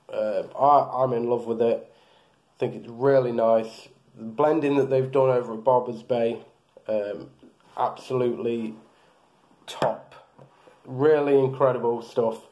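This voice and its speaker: A man's voice: mid-range voice, dark tone. A man in his thirties casually reviewing beard products, rambling with lots of fillers and restarts, filmed close in a small room.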